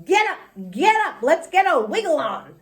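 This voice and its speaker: A woman voicing an excitable, dramatic little girl in a children's storybook, emphatic and repeating herself. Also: high voice, normal speed, voice pushed up. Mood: urgent. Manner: hollering, childish grammar, emphatic repetition. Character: excitable, pleading, dramatic little girl narrating her day. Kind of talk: children's storybook read-aloud.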